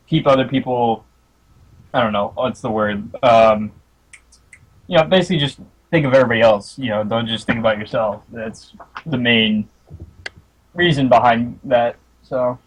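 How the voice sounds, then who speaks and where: Casting: young guy, husky and worn, laid-back co-host losing his voice mid-stream. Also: mid-range voice, unhurried, low-quality recording. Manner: laid-back, leans on "you know". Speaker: co-host, league staff. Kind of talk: casual livestream chat.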